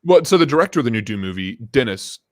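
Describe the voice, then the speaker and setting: A man's voice: medium pitch, big pitch swings, quick pace, dry recording, studio quality. A man chatting casually on a livestream through a voice changer, joking and swearing freely. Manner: swears freely, jokey banter.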